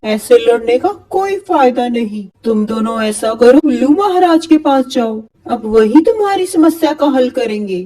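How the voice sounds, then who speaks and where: A woman voicing a male pigeon in a moral-story cartoon, a sensible peacemaker who advises calmly. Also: a little high, normal speed, hardly any pauses. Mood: calm advice.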